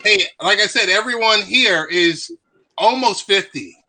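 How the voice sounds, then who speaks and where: A fifty-year-old man in a casual late-night chat, playful and teasing as he gives shout-outs. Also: high-pitched, measured pace, rough recording.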